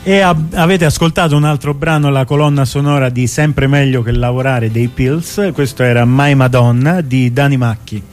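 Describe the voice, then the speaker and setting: A man's voice: mid-range voice, steady tone, moderate pace, hardly any pauses. A man co-hosting a radio show, announcing music in a presenter's informal delivery. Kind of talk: radio song back-announcement.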